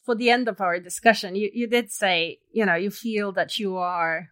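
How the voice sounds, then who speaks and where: A woman leads a podcast interview at a mid pitch. She asks her questions gently and follows the guest's answers closely. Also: steady tone, normal speed. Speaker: interviewer, academic.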